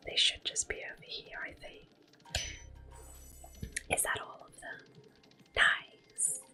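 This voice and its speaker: A woman hosting soft-spoken ASMR gameplay commentary, whispering gently as she plays. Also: slow. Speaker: ASMR gaming host. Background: game music.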